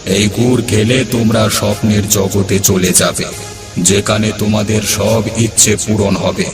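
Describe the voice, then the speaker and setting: A mysterious cloud spirit from a cartoon played by a man speaks very slowly in a low voice. It is solemn yet calm and reassuring, with music playing behind. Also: reverberant, far from the mic. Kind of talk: cartoon character dialogue.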